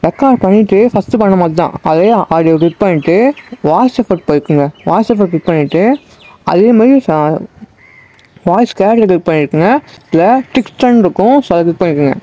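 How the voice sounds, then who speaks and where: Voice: medium pitch, expressive, brisk, mellow. A presenter giving a casual, step-by-step how-to narration. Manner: instructional, step-by-step. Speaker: tutorial presenter.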